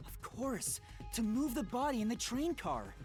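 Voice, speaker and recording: A woman's voice: fairly high pitch, very animated, a little slow, over music. A woman voicing an earnest, anxious teenage boy detective who explains carefully, in an anime-style video game. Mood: earnest, reasoning.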